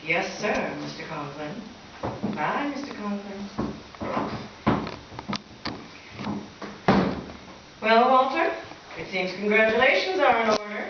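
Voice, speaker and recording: A woman's voice: medium pitch, expressive, unhurried, lo-fi sound, lightly acted. A woman playing a wry, sarcastic schoolteacher with dry, arch wisecracks in an old-time radio sitcom style. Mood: sardonic. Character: wry, sharp-witted female schoolteacher, lovable and sarcastic. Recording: echoey live hall, distant mic, faint noise.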